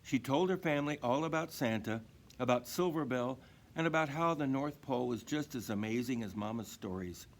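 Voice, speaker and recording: A man reading a children's story aloud in an expressive narrating style. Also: medium pitch, brisk. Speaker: storybook reader.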